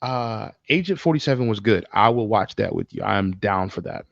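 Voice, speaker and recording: Gravelly low-voiced man in casual podcast chat. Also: expressive, normal speed, dry recording, muffled recording. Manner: informal, opinionated, swears casually. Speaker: podcast co-host. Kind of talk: casual chat about movies and games.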